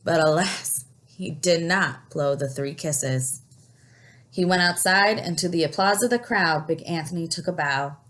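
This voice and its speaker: A woman reading a picture book aloud in an expressive storytelling style, stopping to put questions to young listeners and explain things. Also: sits low, unhurried.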